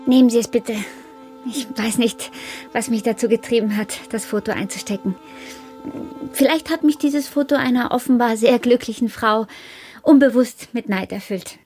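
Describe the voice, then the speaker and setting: A woman's voice: on the high side, normal speed, a little mellow, faint music behind, lightly acted. An actress in a radio drama playing a guarded, searching woman cut off from her past, restrained, firm and questioning.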